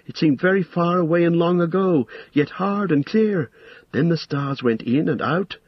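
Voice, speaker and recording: A man voicing a frightened, pleading young male hobbit in a storybook reading, with a shrill, stammering voice. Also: normal speed, breathy edge, no room sound, dull audio. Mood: hesitant, frightened. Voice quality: shrill, strained. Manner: stammering, hesitant.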